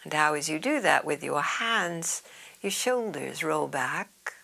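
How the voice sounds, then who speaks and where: A woman yoga teacher leading a guided meditation in a soft, soothing, half-whispered way. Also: low voice, expressive, takes it slowly, vocal fry.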